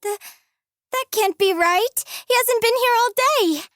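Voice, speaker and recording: A woman's voice: extremely high pitch, a little slow, a bit bright, no room sound, studio quality. A woman voicing a timid, proper young servant girl in a video game, hesitant and pleading. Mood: worried.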